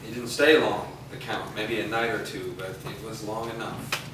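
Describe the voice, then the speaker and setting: A man's voice: on the low side, steady tone, moderate pace, close to an everyday voice. A man playing a weary, regretful father in a staged reading. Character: weary, regretful father clinging to a lost cause. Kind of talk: staged reading of a drama script. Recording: noisy, very echoey hall from far off.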